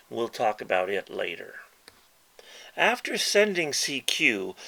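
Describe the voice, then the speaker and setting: A man with a bright, forward voice leads a hands-on tutorial. His mid-range pitch rises and falls in an animated way. He explains things casually and patiently, one simple step at a time. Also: vocal fry. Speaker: instructor and presenter demonstrating a ham radio keyer.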